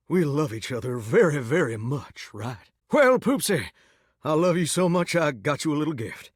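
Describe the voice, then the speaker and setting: An actor voicing a lovesick talking shower in a game, with a drawl and a folksy, earnest way of talking. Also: male voice, a little high, no room sound, clean studio sound. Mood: wheedling. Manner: folksy, earnest and sweetly corny. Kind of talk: video game character dialogue.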